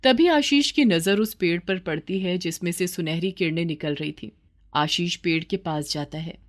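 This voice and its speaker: A woman's voice: lowish voice, moderate pace. A woman narrating a scripted children's story as the storyteller.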